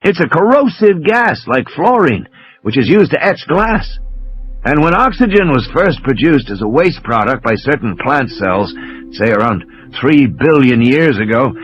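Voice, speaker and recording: A man's voice: on the high side, very animated, moderate pace, highs cut off. A man playing an exasperated, scornful intellectual who lectures with emphatic passion in a dramatised audiobook. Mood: impassioned, exasperated. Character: impassioned, scornful male intellectual lecturing from his sickbed. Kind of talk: dramatised audiobook character reading.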